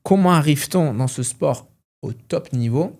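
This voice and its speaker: A man's voice: fairly high pitch, lively intonation, moderate pace, darkish tone. A man hosting a casual interview, chatty and piling one question onto the next. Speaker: podcast host, interviewer.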